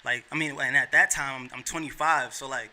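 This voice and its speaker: A man's voice: mid-range voice, steady tone, a little fast, bright, forceful tone. A man in his thirties from New York, a podcast guest talking in an earnest, heartfelt and slangy way. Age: 30s. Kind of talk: casual chat.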